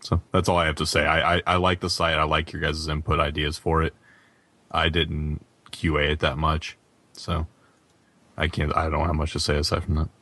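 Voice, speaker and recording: Male gaming podcaster, rumbling low pitch, dry close sound, understated casual talk. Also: level tone, moderate pace, sharp tone, no room sound. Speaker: podcast co-host.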